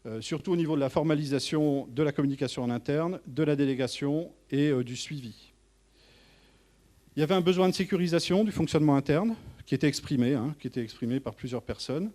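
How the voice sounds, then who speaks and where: Halting male presenter, mellow voice. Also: on the high side. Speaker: tourist office manager presenting. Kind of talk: informal professional presentation to colleagues.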